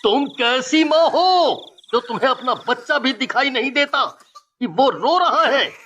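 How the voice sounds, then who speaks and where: A man's voice: very high-pitched, animated, a little slow, sharp tone, slight background noise, heavily acted. An actor playing an exasperated young husband and devoted father in a story, reproachful and earnest.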